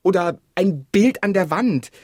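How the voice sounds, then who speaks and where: Radio play: insistent, sentimental male partner, raspy, animated intonation, exasperated and emphatic. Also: sits high, measured pace, dry recording, clean studio sound, full character voice. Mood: insistent, wounded. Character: exasperated, sentimental partner clinging to household belongings. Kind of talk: radio drama dialogue acting.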